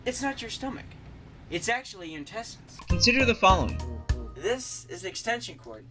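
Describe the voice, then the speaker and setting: A teenage boy playing an excitable, rambling science educator in a spoof educational show. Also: sits high, fairly quick, music in the background. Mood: enthusiastic, rambling. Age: teens. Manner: animated, emphatic, rambling. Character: excitable young male TV science educator, goofy parody. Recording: noisy homemade student video.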